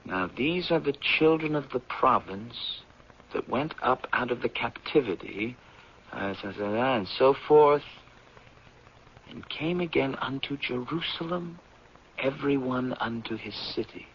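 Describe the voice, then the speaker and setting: An American actor playing an eager, idealistic anthropology professor in a 1970s radio drama, with an earnest, persuasive delivery and a husky voice. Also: male voice, medium pitch, expressive, slight background noise, close to an everyday voice. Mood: solemn, reciting. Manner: earnest, persuasive, deliberate when reading aloud. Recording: dull, lo-fi vintage radio recording.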